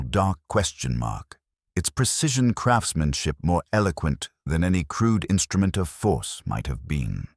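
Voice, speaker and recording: A man narrating a scripted mystery story in a measured, formal, literary manner. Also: very deep voice, slightly rough, no room sound, studio quality. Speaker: audiobook narrator.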